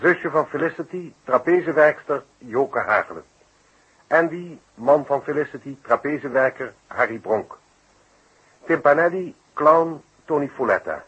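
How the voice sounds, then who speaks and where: A man's voice: a little high, lively intonation, unhurried, a bit bright, slightly rough. A man reading cast credits as a radio announcer, in a formal, scripted 1960s style. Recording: muffled, noisy old radio recording.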